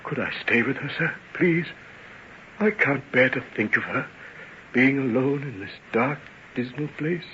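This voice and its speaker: A grieving, deferential servant in an old radio drama. He speaks haltingly in a very sharp, mid-pitched voice, through a dull recording. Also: animated, slight background noise. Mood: shocked, grieving. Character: grief-stricken, deferential male servant. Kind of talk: old-time radio acting.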